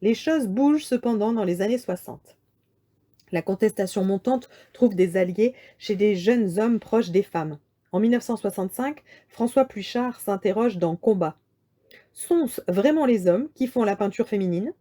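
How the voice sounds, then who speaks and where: A woman reading a scripted lecture in a measured, articulate way with deliberate emphasis. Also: medium pitch, lively intonation, dark tone. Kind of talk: scripted lecture read aloud.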